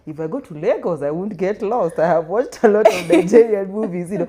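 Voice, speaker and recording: A woman from Kenya hosting a podcast, playful and encouraging, with steady "mm-hmm" replies. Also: mid-range voice, fairly quick, slightly rough. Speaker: podcast host. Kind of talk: casual interview hosting.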